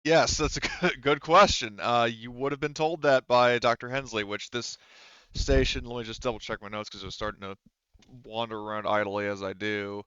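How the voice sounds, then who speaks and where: A man narrating a tabletop game as game master in a casual, halting, rambling, conversational way. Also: mid-range voice, fairly even intonation, fairly bright tone, smooth, no room sound, highs cut off.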